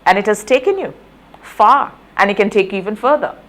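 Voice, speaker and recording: A Grenadian woman hosting a morning interview in a conversational, deliberate way, repeating phrases for emphasis. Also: mid-range voice, expressive, fairly bright tone. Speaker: morning show host.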